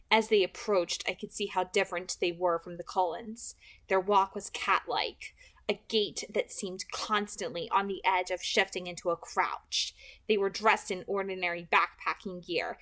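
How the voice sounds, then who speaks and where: A woman's voice: mid-range voice, a bit bright. Female amateur narrator with a middle-range voice, reading fiction aloud. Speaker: host and amateur audiobook reader.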